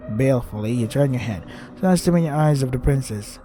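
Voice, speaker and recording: A man's voice: medium pitch, animated, fairly quick, warm tone. A man reading a visual novel aloud as a gaming host, crude and irritated, stumbling over his words as he rants.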